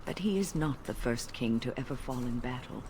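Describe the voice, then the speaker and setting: An actor playing a steadfast, consoling warrior leader in a fantasy video game, measured and earnest. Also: male voice, mid-range voice, lively intonation, background noise, lightly acted. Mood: grave, resolute.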